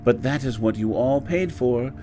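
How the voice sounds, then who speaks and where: An actor playing the courtly, ominous master of a haunted mansion inn, speaking formally and with measure in a horror audio drama. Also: male voice, medium pitch, normal speed, dark tone, slight background noise, close to an everyday voice. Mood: grave storytelling.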